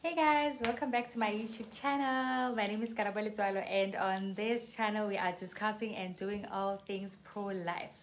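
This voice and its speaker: A young woman from South Africa with a soft voice, chatting earnestly to her viewers on a casual vlog. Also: medium pitch, fairly even intonation, normal speed, few pauses. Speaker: YouTuber. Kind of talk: casual vlog chat to camera. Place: covered patio outside a house.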